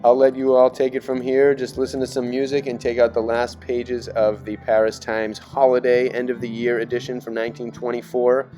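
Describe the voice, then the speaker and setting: A man's voice: mid-range voice, completely flat delivery, fairly quick, few pauses, warm tone. A man giving casual, rambling commentary as a video narrator, musing and speculating in a conspiratorial way. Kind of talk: casual rambling commentary over archive images. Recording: music behind the voice. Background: background music.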